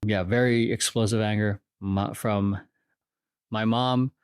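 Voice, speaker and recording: Hesitant, low-voiced man reflecting. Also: fairly even intonation, slow, clean tone, no room sound, studio quality. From Philippines. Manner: hesitant, restarts often, thinks aloud. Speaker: podcast guest. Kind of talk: casual reflective interview.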